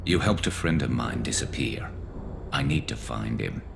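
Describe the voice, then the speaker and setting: Very deep, gravelly vengeful alien soldier. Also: male voice, animated, normal speed, background noise, exaggerated voice. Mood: cold menace. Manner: clipped, grim, sardonic. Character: vengeful, embittered male alien soldier, weary and hard-edged. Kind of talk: video game dramatic dialogue.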